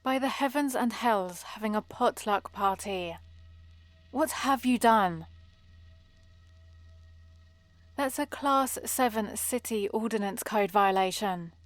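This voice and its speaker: A woman's voice: medium pitch, unhurried, no echo, faint music behind, lightly acted. A woman playing a prim, bossy and exasperated vampire librarian in a scripted ASMR roleplay, scolding in a fussy, theatrical way. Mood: indignant, scolding.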